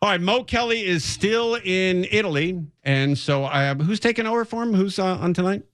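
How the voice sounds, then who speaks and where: A man's voice: high voice, animated, moderate pace, a bit bright, clean tone, no echo. Dry, close-sounding male talk radio host. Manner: conversational, opinionated, repeats phrases for emphasis. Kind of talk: off-the-cuff radio commentary. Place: radio studio.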